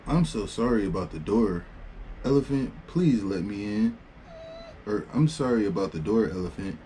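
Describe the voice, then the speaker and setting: Storybook girl playing librarian, read by a man: gracious, chatty, a bit fussy, mellow tone, noisy recording. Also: lowish voice, sing-song, moderate pace. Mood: gracious, excited. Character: polite, bossy young girl playing a welcoming librarian. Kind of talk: storybook read-aloud dialogue.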